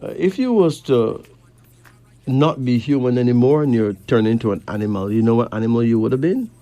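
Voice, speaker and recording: A man from Barbados with a Caribbean accent, hosting a late-night radio show in a measured, deliberate way with pauses between phrases. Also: mid-range voice, lively intonation, dark tone, lo-fi sound. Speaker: radio host giving readings to callers. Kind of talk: late-night radio talk, slow monologue and caller chat. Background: faint music.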